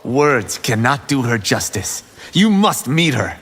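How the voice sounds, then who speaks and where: A boastful young martial artist from a fighting game, animated and theatrical with wide pitch movement. He is heard over clear background noise with clicks. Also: takes it slowly. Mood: cocky. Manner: cocky, theatrical. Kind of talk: video game character acting.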